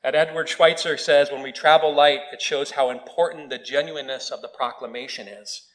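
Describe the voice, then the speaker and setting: Lively man preaching a sermon. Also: fairly high pitch, a little fast, rough recording. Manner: storytelling with humor, animated quoted voices. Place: church.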